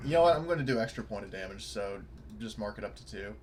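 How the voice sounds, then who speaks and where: A man's voice: lowish voice, expressive, fast, a crowd in the background. A man talking casually as a player in a tabletop roleplaying game. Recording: clearly noisy mic with clicks.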